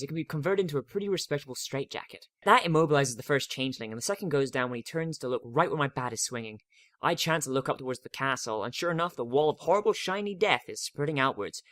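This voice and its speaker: A man giving a dramatic first-person reading of a story, expressive and wry. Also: mid-range voice, no room sound, clean studio sound. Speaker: narrator of a fan fiction reading.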